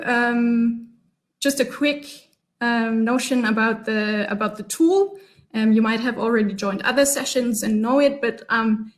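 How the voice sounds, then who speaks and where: A woman from Germany, leading an online workshop session over a video call in a chatty, upbeat way with many ums. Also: on the high side. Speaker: workshop facilitator.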